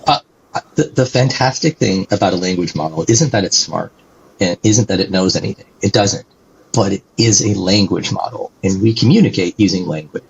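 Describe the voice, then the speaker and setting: A man's voice: low voice, normal speed, vocal fry, slight background noise. A man being interviewed as a guest, explaining things animatedly and tagging sentences with "right?", on a dull lo-fi recording. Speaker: guest, company executive. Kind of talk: conversational interview answers.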